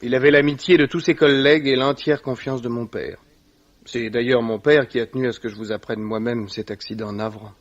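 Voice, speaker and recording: An actor playing a rich, loyal young heir with a formal, earnest manner, in 1950s French radio drama style. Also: male voice, medium pitch, steady tone, a little fast, lightly acted. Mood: grave, consoling. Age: young adult. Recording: dull old radio recording.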